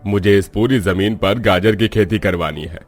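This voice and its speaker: A man voicing a gruff, dismissive village man in a cartoon story. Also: lowish voice, normal speed, faint music behind, lightly acted. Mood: commanding. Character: stingy landlord and envious farmer in village. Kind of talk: Hindi cartoon dialogue.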